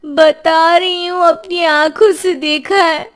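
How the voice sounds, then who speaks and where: Woman acting high-pitched, easily alarmed young wife. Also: level tone, takes it slowly. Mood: indignant. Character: frightened, exasperated young wife who saw a ghost.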